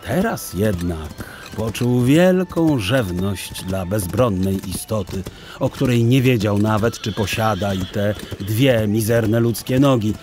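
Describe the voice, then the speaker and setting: A man narrating a children's audiobook in an expressive, theatrical storytelling style with deliberate phrasing. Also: fairly low pitch, soft background music.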